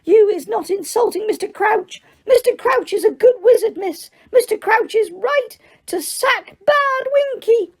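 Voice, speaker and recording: A shrill, distraught female elf. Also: animated, measured pace, hardly any pauses, heavily acted. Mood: indignant. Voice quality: shrill screeching. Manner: broken grammar.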